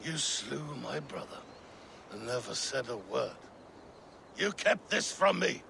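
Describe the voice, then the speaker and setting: A gravelly, drunken Viking king. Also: medium pitch, sing-song, sharp tone, a little hiss or hum, heavily acted. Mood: bitter. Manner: slow, heavy, mournful storytelling. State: drunk and unwell. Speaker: game character. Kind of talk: video game cutscene acting.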